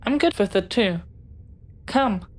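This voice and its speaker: A woman plays a young pirate captain's child in an audio roleplay, bookish and dreamy. The delivery is slow and halting at a middling pitch, swinging between theatrical bluster and sheepish, earnest chatter. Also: animated. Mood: relieved, sheepish. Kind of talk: scripted audio roleplay addressed to the listener.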